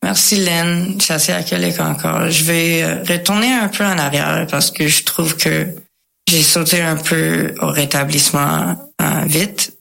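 An English-speaking woman tells her personal story casually, with slangy English words mixed in and pauses to search for words. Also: sits low, steady tone, vocal fry, a bit bright. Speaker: guest sharing a recovery testimony.

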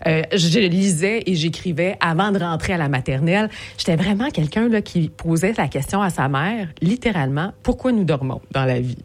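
A Québécois woman whose first language is Portuguese, a guest in a podcast interview, quick and lively as she tells her stories and laughing often. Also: fairly low pitch. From Montreal area, Quebec. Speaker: guest, consultant and speaker.

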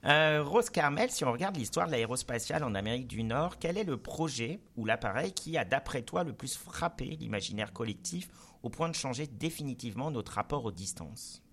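A man's voice: mid-range voice, expressive, fairly quick, a bit bright. A man hosting a panel interview, moving between prepared questions and short conversational reactions. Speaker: host and moderator of a panel interview.

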